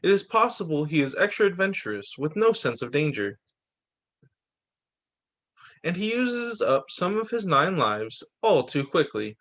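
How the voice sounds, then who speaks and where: Male teacher for children's read-aloud: higher-than-average voice, very animated melody, expressive storytelling with casual asides. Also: measured pace, long pauses. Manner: expressive reading with short spoken asides. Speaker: teacher reading picture books to pupils.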